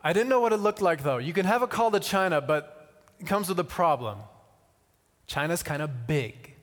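A man's voice: on the high side, lively intonation. Man preaching in a reverberant hall. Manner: conversational, with deliberate dramatic pauses and repetition. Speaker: missionary speaker. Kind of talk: sermon with personal storytelling to an audience.

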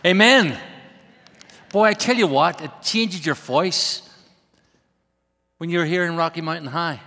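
Irish preacher with a bright, high voice. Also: male voice, unhurried. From Ireland. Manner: joking, then rousing and emphatic. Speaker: guest preacher, missionary. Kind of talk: humorous sermon. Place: large church sanctuary. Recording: long reverb of a large hall.

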